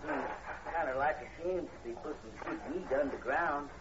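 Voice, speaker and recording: A man's voice: a little high, normal speed, natural voice. An actor playing a timid, superstitious farm hired man with a rural Southern American accent in a 1940s radio horror play. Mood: grumbling. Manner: folksy, rustic, hesitant. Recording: muffled, noisy 1940s radio recording.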